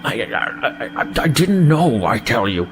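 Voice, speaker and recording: A man's voice: mid-range voice, sing-song, measured pace, music in the background. A man playing a flustered, weaselly alien trader who stammers and blusters in a sci-fi audio drama. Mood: flustered, evasive.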